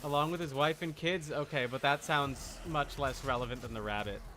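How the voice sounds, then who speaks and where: Male game streamer, bright mid-range voice, wry off-the-cuff commentary muttered half to himself, slight background noise. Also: on the high side, moderate pace. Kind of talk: casual live gameplay commentary.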